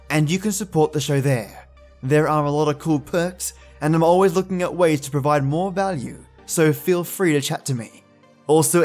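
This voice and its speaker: A man's voice: fairly high pitch, darkish tone. A man hosting a podcast, giving friendly, chatty commentary to his listeners. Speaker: podcast host.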